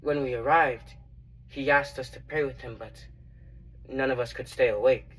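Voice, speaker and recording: A young man playing a reverent, earnest disciple who recounts his memories in a halting, reflective dramatic monologue. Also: mid-range voice, fairly even intonation, a bit bright, distant mic, close to an everyday voice, poor audio. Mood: grave, sorrowful. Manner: halting, reflective, with long pauses.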